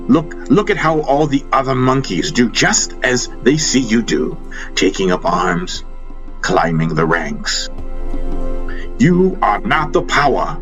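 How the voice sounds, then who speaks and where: Man playing an emphatic, exasperated spiritual master. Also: on the low side. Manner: emphatic, preaching, pausing between phrases. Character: loving but exasperated male spiritual master, admonishing a king. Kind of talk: dramatic fantasy audiobook dialogue. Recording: music behind the voice.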